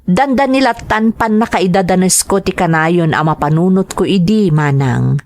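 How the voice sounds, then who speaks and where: An actress plays a young Ilocano woman in a radio drama. Her voice is a little low and has an Ilocano accent. She comes across as a sober survivor telling her own story. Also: normal speed, darkish tone, close to an everyday voice. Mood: reflective, sober. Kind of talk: Filipino radio drama acting.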